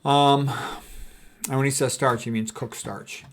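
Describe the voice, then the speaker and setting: A man's voice: mid-range voice, fairly even intonation, measured pace. A man reads quotes aloud and comments on them informally as a health educator, in a folksy, emphatic way that repeats key statements.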